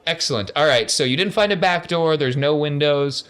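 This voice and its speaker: A man's voice: on the high side, normal speed, fairly bright tone, clear, resonant tone. A man running a tabletop role-playing game as game master, encouraging and affirming his players. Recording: noticeable background noise.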